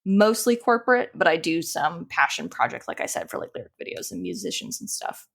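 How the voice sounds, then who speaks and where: A woman's voice: fairly low pitch, huge pitch swings, a little fast, creaky. A woman chatting casually as an interview guest, informal and self-deprecating in the way she talks. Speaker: podcast guest, animator and dungeon master.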